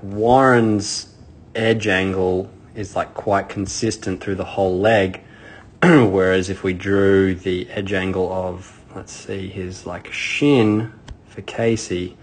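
A man's voice: low-pitched, a little hiss or hum. A man coaching skiing, talking casually over video footage, thinking aloud with many fillers and restarts. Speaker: ski coach. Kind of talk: casual video breakdown commentary.

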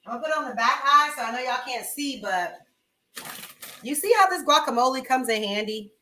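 A woman's voice: high voice, animated, brisk, bright, forceful tone, echoey room, slight background noise. A young woman chatting casually and slangily to viewers as she hosts a cooking livestream from her home kitchen. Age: young adult. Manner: informal, slangy, chatting to viewers while moving around. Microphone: webcam-style mic at arm's length or farther.

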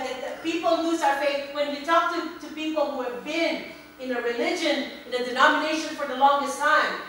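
Middle-aged female preacher, high voice, big echoey hall. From Philippines. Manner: animated, gesturing, repeats words when emphasizing. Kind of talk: sermon. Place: church sanctuary, indoors. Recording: very echoey hall, a bit distant, faint hum.

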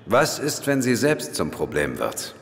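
A man's voice: fairly low pitch, wildly swooping pitch, unhurried, creaky, full character voice. An actor playing a dry, sarcastic male detective in an audio drama. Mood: probing, grim. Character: wry, sarcastic male British police detective. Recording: long hall reverb.

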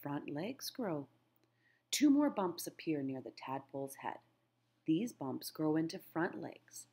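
A woman's voice: deep voice, sing-song, a little slow, dark tone, a little hiss or hum. A middle-aged woman teacher reading a children's nature book aloud, phrasing things simply and putting questions to young listeners.

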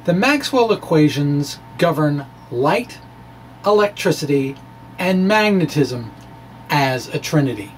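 A middle-aged man reads a science explainer aloud at an unhurried pace. His phrasing is deliberate and a little theatrical. He speaks into a microphone indoors, with noticeable background noise. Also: on the high side, poor audio. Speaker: science blogger and presenter. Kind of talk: dramatic reading of a physics blog, explanatory. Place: small indoor room with bookshelves. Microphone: microphone on a stand in front of him. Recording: clear steady background noise.

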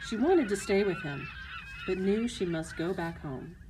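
A woman's voice: mid-range voice, very animated, unhurried, background noise. A woman narrating a scripted fairy tale for children as a storyteller.

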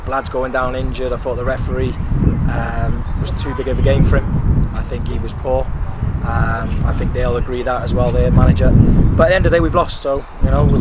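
A man in his thirties gives a blunt post-match interview as a club manager, talking at an ordinary pitch and filling gaps with "um" and "you know". He is recorded outdoors beside a football pitch. Loud wind and traffic noise run under his voice the whole time. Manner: frank, animated, lots of "um" and "you know".

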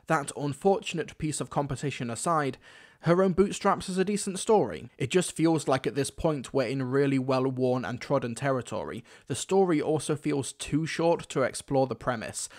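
A male reviewer speaks in a medium-pitched voice. He delivers semi-scripted commentary in an opinionated way, stressing the key words. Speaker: video reviewer.

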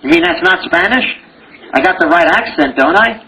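A man's voice: high-pitched, animated, normal speed, sharp tone, low-quality recording. Joking male medical lecturer. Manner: joking, quizzes audience with rhetorical questions. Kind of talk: exam review lecture.

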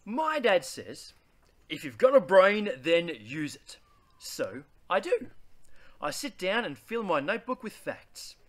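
Aussie guy reading a kids book out loud, kinda high voice, really swoopy and theatrical. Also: sing-song, takes it slowly. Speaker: read-aloud host. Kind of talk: expressive children's picture book read-aloud.

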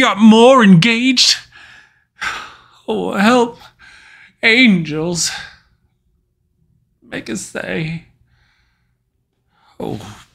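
Guy acting guilty usurper king praying, slow heavy shakespeare soliloquy, tormented and pleading. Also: fairly high pitch, vocal fry, sharp tone, husky, heavily acted. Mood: desperate anguish. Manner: dramatic, deliberate delivery with weighty pauses. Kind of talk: classical Shakespearean stage soliloquy.

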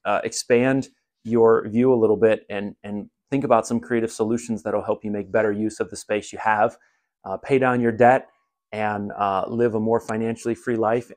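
Man, middle-aged, casual how-to walkthrough, relaxed, lots of fillers, handheld phone recording in a garage. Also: fairly low pitch, normal speed. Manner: relaxed, rambling with many fillers. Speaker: home gym vlogger. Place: home garage, then attic. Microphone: handheld phone camera.

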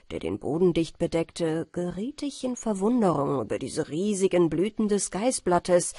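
A woman narrating a bedtime fairy tale audiobook in a gentle, measured storytelling manner. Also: on the low side, dry recording, clean studio sound.

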